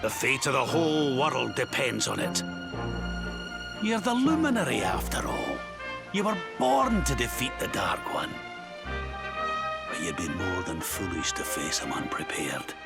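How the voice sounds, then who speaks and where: An actor playing a doughty, fatherly old mentor in a video game, with a gravelly voice and weighty, folksy delivery. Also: medium pitch, huge pitch swings, unhurried, over loud music, exaggerated voice. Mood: grave, ominous. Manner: dramatic, weighty storytelling with folksy turns. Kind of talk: video game cutscene acting.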